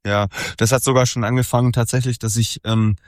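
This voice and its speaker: A man's voice: mid-range voice, flat delivery, brisk, no echo. A man in his forties, a musician being interviewed, talking casually and rambling with many fillers and restarts. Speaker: musician guest.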